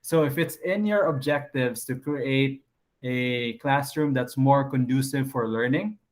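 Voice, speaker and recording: A man teaching an online design class in a casual way, thinking aloud with frequent fillers. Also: a little slow. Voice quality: low voice.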